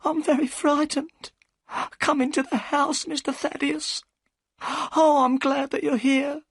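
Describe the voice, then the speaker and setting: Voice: flat delivery, unhurried, dry recording. A reader putting on a terrified old housekeeper. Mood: frightened. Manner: high-pitched, pleading, tearful. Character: frightened tall old housekeeper woman.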